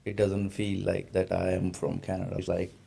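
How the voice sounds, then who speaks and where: Earnest low-voiced podcast host. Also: male voice, monotone, moderate pace, vocal fry, mellow, slightly rough. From India. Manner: earnest, searching phrasing, non-native grammar. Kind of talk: casual interview conversation.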